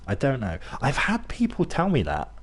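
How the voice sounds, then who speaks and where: A man's voice: medium pitch, huge pitch swings, normal speed, breathy edge. A young British man from near Birmingham with a loosely mixed British accent, chatting casually to viewers while playing a game, rambling with fillers and restarts. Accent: British, mixed, not Brummie. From England, near Birmingham. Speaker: gaming streamer. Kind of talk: casual gameplay commentary to chat.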